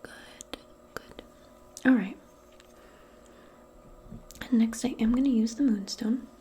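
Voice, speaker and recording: A woman role-playing in soft-spoken ASMR, speaking gently and soothingly in a breathy, mostly whispered voice. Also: a little high, slight background noise. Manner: gentle, soothing, unhurried, conversational. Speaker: ASMR performer role-playing a modern witch.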